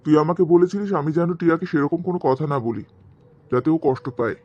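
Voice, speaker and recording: Stern, higher-voiced cartoon father, played by a man. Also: animated, fast, a little mellow, muffled recording, lightly acted. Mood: stern, hurt. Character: protective, stern father, middle-aged, guilt-tripping his daughter. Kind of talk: cartoon drama dialogue.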